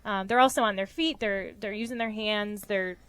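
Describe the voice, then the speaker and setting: A woman's voice: medium pitch, moderate pace, fairly bright tone, clear, resonant tone. A young woman from Rochester, New York, a physical therapist guest giving earnest interview answers with frequent ums.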